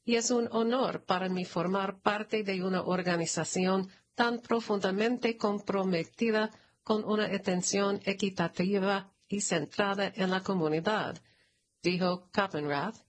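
A woman narrator reads a prepared announcement at a slow, deliberate pace. Her pitch sits in a middle range for a female voice, and her delivery is formal and measured throughout. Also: fairly even intonation. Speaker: narrator reading a press release. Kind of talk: scripted read-aloud news announcement.

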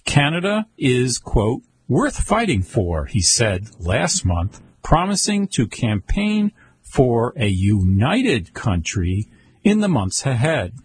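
Slow, very raspy male news reader. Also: medium pitch, huge pitch swings. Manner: slow, halting reading with stumbles and self-corrections. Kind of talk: amateur news reading.

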